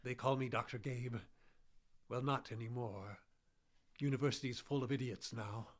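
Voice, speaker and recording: A man playing an eccentric, testy old botanist in a radio horror drama, husky, absent-minded, stammering and repeating himself. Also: mid-range voice, fairly even intonation, normal speed, close to an everyday voice. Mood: muttering, distracted.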